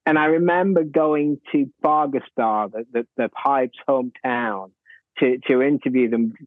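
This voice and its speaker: A man's voice: mid-range voice, unhurried, dry recording. A man, an author guest on a music podcast, talking enthusiastically about a band and often repeating words as he goes. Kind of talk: casual music chat. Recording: tinny phone-like remote line.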